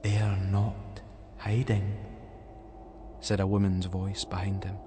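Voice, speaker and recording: A man's voice: low voice, monotone, slow pace, over music. A man with a Scottish accent reading a story as a dramatic scripted narration.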